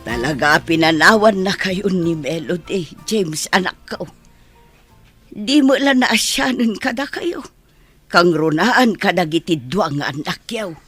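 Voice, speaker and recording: A woman's voice: lowish voice, animated, unhurried, fairly bright tone, slightly rough, faint music behind, exaggerated voice. A woman playing a concerned, supportive parent consoling a grown child in an Ilocano radio drama. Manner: earnest, consoling.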